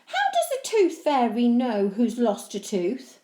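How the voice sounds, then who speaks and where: Lady doing a cheeky horrid little boy from a storybook, loud n scheming, roomy sound. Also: a little high, wildly swooping pitch, unhurried, voice pushed up. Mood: questioning. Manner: exclamatory, cheeky. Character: scheming, indignant young boy, horrid older brother.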